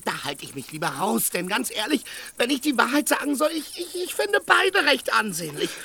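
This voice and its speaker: A man's voice: extremely high pitch, very animated, normal speed, gravelly, pushed and bright, heavily acted. A man playing a simple, chatty peasant squire in a radio play, stammering and hedging in blunt, earthy talk. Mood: flustered, evasive. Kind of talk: German radio play acting.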